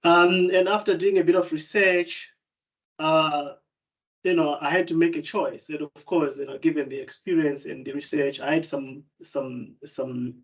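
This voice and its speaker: A man's voice: on the high side, steady tone, measured pace, highs cut off. Hesitant young man from Zimbabwe. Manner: hesitant, many fillers and restarts. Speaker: student speaker. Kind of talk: online open day presentation.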